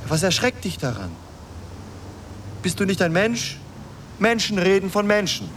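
An actor playing an impatient, coarse, self-important young suitor in a radio drama, brusque and scornful. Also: male voice, high voice, big pitch swings, measured pace, a bit bright, slight background noise, exaggerated voice. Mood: exasperated. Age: young adult.

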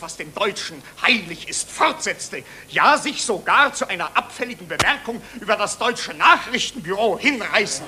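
Ein Schauspieler spielt in einem deutschen Hörspiel der 1940er einen wichtigtuerischen, nationalistischen Psychiater, pompös und theatralisch deklamierend. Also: male voice, high voice. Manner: pompous, officious, theatrical oratory. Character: bombastic, nationalist German psychiatrist, self-important official. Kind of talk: 1940s German radio play, declamatory speech. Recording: noisy 1940s radio recording.

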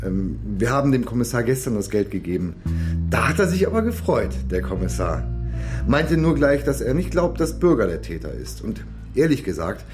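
An actor playing a naive-seeming, quietly sly amateur sleuth in a German radio crime drama, talking in a halting, colloquial way. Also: male voice, mid-range voice, sing-song, over music, close to an everyday voice. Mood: mock-innocent. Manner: colloquial, halting, mock-casual.

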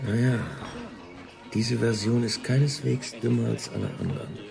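An actor playing a brooding, persistent police investigator in a German radio drama, measured and reflective in manner. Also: male voice, mid-range voice, steady tone, vocal fry, over music.

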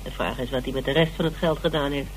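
A man's voice: mid-range voice, fairly even intonation, quick pace, a little hiss or hum, lightly acted, rough recording. An actor playing a thoughtful lead investigator in a naturalistic radio drama, musing and repeating words as he weighs things up. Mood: pondering, brisk. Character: male lead investigator weighing up evidence, measured, appreciative.

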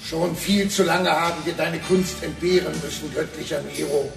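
Scheming Roman henchman, radio drama: high, creaky, obsequious, declamatory, echoey. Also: moderate pace. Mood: flattering. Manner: oily, declamatory, flattering. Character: fawning, scheming Roman guard commander, the emperor's crony. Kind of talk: comic radio drama acting. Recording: roomy echo, faint music.

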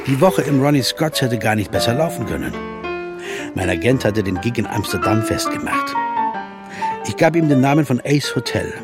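Man playing a dry guarded pianist narrating, pitch moves around a lot, music underneath. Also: animated, moderate pace, natural voice. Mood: reflective. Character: weary male American jazz pianist, ex-private eye. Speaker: narrator and main character. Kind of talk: radio play acting.

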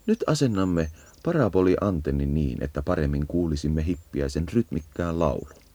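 A man giving a measured, instructive scripted narration for an educational nature guide. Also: rumbling low, lively intonation, dark tone, dry recording.

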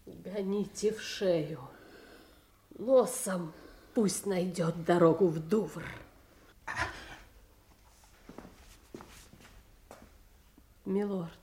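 A woman's voice: fairly low pitch, warm tone, a little hiss or hum. An actress playing a cruel, imperious noblewoman in a classical tragedy, theatrical, scornful and commanding. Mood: curt, commanding. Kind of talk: classical Russian stage tragedy acting.

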